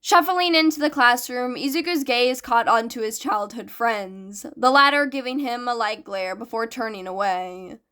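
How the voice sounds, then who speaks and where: A woman's voice: a little high, normal speed, few pauses, sharp tone. A woman reading a scripted fan fiction story aloud as its narrator. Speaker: podfic narrator.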